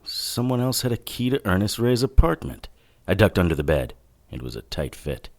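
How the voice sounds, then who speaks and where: An actor playing a wry, hardboiled 1940s private detective, narrating in dry, clipped noir style. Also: male voice, on the low side, very animated, moderate pace, vocal fry. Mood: watchful narration. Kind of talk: noir first-person scripted narration.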